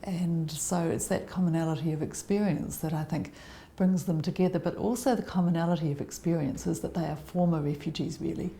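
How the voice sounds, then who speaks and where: A woman speaking as a services manager in an interview, explaining in a measured, articulate way. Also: low voice, steady tone, mellow.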